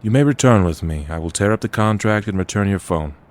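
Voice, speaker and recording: A man as a very deep, grave coachman. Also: lively intonation, fairly quick, lightly acted. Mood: grave, warning. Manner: formal, solemn. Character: grave, hooded male carriage driver. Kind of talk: horror audio drama acting.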